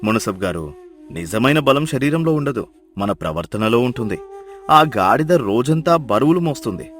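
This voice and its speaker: A man voicing a clever, humble donkey herder who speaks softly and persuasively in a Telugu moral story. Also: medium pitch, lively intonation, soft background music, voice pushed down, close to an everyday voice. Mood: wise, gentle. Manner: soft, measured, gently persuasive.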